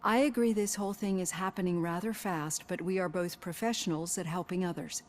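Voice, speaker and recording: An actress playing a composed, professional female psychologist in a video game, measured, tactful and polite but firm. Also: on the low side, steady tone, slight background noise, lightly acted. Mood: calm, tactful. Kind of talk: video game cutscene acting.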